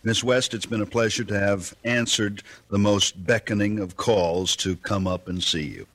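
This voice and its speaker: A man's voice: lowish voice, steady tone, moderate pace, fairly bright tone. A man conducting a scripted radio interview in a formal, polished and courtly manner.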